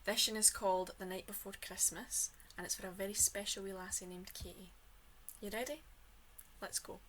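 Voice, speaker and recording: A woman with a Scottish accent reading an expressive, animated bedtime story for children, sometimes dropping toward a whisper. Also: medium pitch, moderate pace, slight background noise, low-quality recording.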